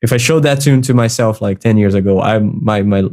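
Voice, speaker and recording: Laid-back Brazilian man, low mellow voice. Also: brisk. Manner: relaxed, laughs often, many "like" fillers. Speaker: guest music producer. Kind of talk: casual podcast chat.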